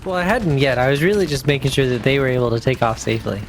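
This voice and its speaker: A man's voice: mid-range voice, animated, fast talker. A man chatting casually as a player in a tabletop role-playing game. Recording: faint background noise.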